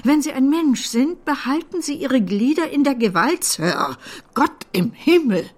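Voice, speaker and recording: An actress playing a brusque, sharp-tongued older aunt in a radio play, blunt and commanding. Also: female voice, high voice, animated, a little slow. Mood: indignant, snappish. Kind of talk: German radio play acting.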